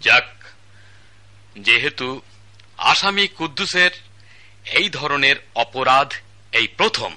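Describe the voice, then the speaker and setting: An actor playing a stern magistrate who reads out the charges and the sentence in formal, old-fashioned court language. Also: male voice, mid-range voice, animated, takes it slowly, long pauses, pushed and bright, heavily acted. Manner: formal, archaic court diction, calls order. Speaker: judge. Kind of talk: Bangladeshi state radio drama acting.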